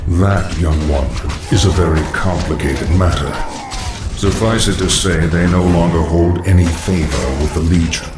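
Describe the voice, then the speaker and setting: An actor playing a cold, scheming undead lich sorcerer in a video game cutscene, with a hollow voice and formal, measured archaic phrasing. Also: male voice, sits low, steady tone, noisy background, close to an everyday voice. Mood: cold, knowing. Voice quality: hollow, echoing. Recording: echoing, distant voice over music.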